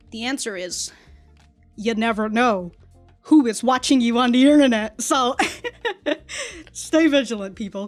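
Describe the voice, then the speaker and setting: A woman with a fairly high voice hosts a casual reaction commentary. She rambles in an animated, informal way and swears freely. Faint music plays behind her. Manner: rambling, animated, laughs through remarks, swears. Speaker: reaction video host. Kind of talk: casual commentary on an anime episode.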